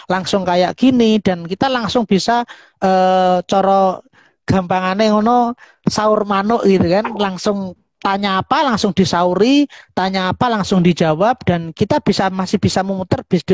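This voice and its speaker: The voice is dry and close, with no room around it. It belongs to a woman with a Javanese accent who is hosting an informal live session online. Her pitch sits in the middle range for a woman. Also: darkish tone, no room sound, lo-fi sound. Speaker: host, university staff member.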